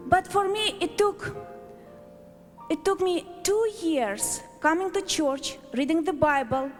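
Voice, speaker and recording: High-voiced woman testifying in an echoing hall. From Azerbaijan. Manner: deliberate, short emphatic phrases. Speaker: church member giving a testimony. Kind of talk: prepared testimony speech to a congregation. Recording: echoey hall, long reverb, music.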